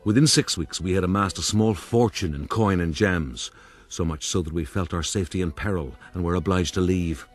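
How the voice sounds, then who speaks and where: Man as deep-voiced gentleman rogue narrator. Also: soft background music, natural voice. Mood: boastful, wry. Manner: measured, dryly pompous. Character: proud gentleman rogue recounting his adventures, dry and boastful. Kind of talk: dramatized scripted narration.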